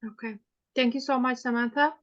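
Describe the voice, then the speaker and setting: A woman playing counsel in a mock hearing, asking questions hesitantly with many pauses. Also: on the high side, steady tone, fairly bright tone, lo-fi sound. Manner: hesitant, many fillers and restarts.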